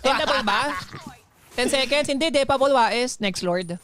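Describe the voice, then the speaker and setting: An excitable Filipino game commentator talks through a live match in a casual way. Phrases come out repeated and punctuated with exclamations, with noticeable noise behind the voice. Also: high-pitched, measured pace. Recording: clear background noise.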